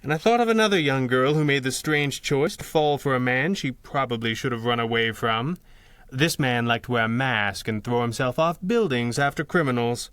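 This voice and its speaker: An actor playing a calm, philosophical masked crime fighter in a 1930s-style radio adventure, speaking in a measured, articulate way. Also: male voice, mid-range voice, smooth, no echo, lightly acted. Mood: reflective, gentle.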